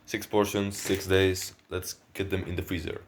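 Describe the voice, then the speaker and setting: A young man with a very low voice talks to his camera in a loose, rambling way, often restarting his sentences. He is from Spain and Ukraine, is not a native English speaker, and sounds tired from little sleep. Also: flat delivery, normal speed. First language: Spanish or Ukrainian. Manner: rambling, self-reflective, frequent restarts. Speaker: vlogger. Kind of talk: casual vlog talking to camera.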